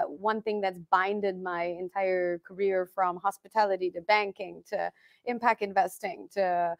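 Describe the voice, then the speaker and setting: A woman in her thirties, a studio interview guest, explaining investing in an energetic, emphatic and fluent way. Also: mid-range voice. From Malaysia and Canada. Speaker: impact investing expert guest. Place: studio set with dark backdrop.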